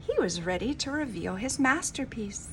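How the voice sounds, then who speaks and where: A woman's voice: on the high side, expressive, moderate pace. Woman reading a storybook aloud, noisy recording. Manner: dramatic, emphatic delivery with informal asides. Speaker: teacher reading a picture book aloud. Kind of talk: expressive children's storybook read-aloud. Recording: clearly noisy homemade recording.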